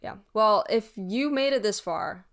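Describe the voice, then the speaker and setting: A woman's voice: mid-range voice, a little slow. Casual young woman chatting, thinking aloud. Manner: informal, hedging, thinks aloud. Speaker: podcast co-host. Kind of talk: casual chat.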